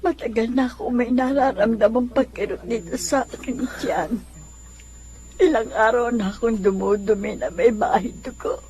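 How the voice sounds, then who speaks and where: A woman's voice: fairly high pitch, normal speed, darkish tone, lightly acted. An actress playing an emotional, earnest poor neighbour woman, an ailing former drinker, in a radio drama. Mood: worried, tearful.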